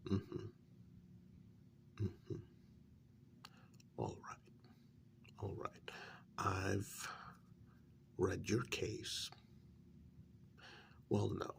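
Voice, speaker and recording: A man playing a calm, courteous, frank human mediator in a soft-spoken ASMR vampire role-play, with a breathy, whispery voice and a hesitant, measured delivery. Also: fairly low pitch, full character voice. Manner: slow, hesitant, polite, measured.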